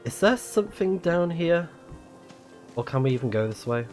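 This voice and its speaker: A man's voice: on the high side, very animated, normal speed, darkish tone, soft background music. A man giving casual live commentary as he plays a video game, thinking aloud. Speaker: game streamer.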